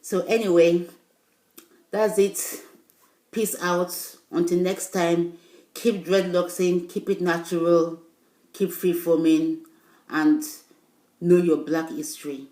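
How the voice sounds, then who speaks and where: A woman's voice: fairly low pitch, fairly even intonation, takes it slowly, pauses often, warm tone, echoey room. A woman vlogger, English not her first language, talking to her viewers casually with emphatic, insistent points and loose rambling asides. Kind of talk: casual video talk to viewers.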